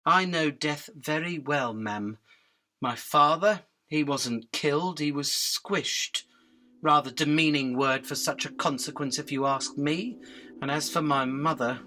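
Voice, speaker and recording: A cheeky male fly from a radio drama, acted by a man, with a highish, very bright, forceful voice. He wheedles and rambles, mixing grovelling politeness with impudent bargaining. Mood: earnest, reflective. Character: cheeky, pleading, fast-talking male fly caught by a spider. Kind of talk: illustrated radio drama acting.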